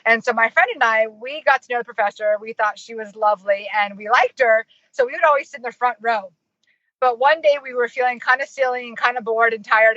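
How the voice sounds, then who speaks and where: A bright, fairly high-voiced American woman talks in a chatty, giggly manner, full of fillers. She sounds like an interview guest on a dry, dull, lo-fi remote call. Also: no room sound. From United States. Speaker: marketing consultant and professor. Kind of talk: casual interview storytelling.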